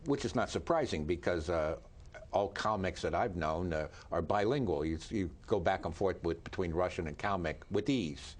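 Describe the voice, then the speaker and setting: Deep-voiced male TV host. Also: expressive. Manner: measured, asks short confirming questions. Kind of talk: TV interview.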